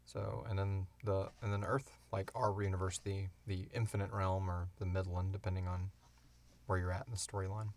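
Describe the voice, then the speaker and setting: A man co-hosting a podcast, answering questions in casual, informal, halting talk. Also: sits low, level tone. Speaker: podcast co-host and show creator. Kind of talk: casual Q&A chat.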